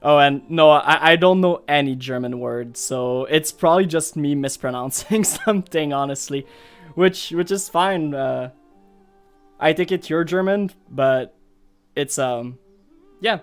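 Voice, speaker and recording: A native French-speaking man from Montreal talks with a Quebec French accent over background music. He is a game streamer chatting casually to viewers. His delivery rambles and hesitates, as he thinks out loud. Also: fairly high pitch, unhurried, smooth. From Montreal, Canada. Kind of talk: casual live gameplay chat.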